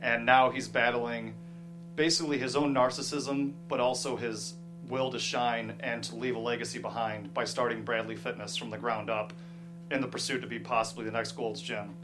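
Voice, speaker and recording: A man, a screenwriter guest, explaining his script in casual interview talk, a little halting. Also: medium pitch, flat delivery, over music, rough recording.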